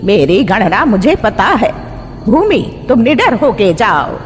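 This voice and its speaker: A woman voicing a commanding, animated old witch. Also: medium pitch, slow pace, soft background music. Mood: reassuring, firm. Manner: commanding, threatening, assured. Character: stern, commanding old witch mother-in-law with spells. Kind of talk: Hindi horror cartoon dialogue. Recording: long hall reverb, background noise.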